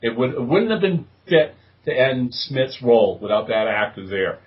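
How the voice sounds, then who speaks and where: A man's voice: mid-range voice, sing-song, normal speed. A man hosting a podcast in casual, emphatic chat, gushing and repeating himself for stress. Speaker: podcast host. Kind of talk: casual enthusiastic chat about TV. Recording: distant mic, dull lo-fi sound.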